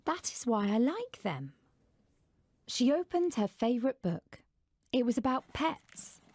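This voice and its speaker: A woman narrating a scripted children's story in an expressive storyteller's way, acting out the dialogue. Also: mid-range voice, slightly rough.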